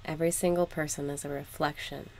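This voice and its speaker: Female meditation guide: unhurried, even delivery with gravelly fry, ambient noise underneath. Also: low voice. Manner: measured, instructional, with long pauses. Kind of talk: slow, scripted guided meditation.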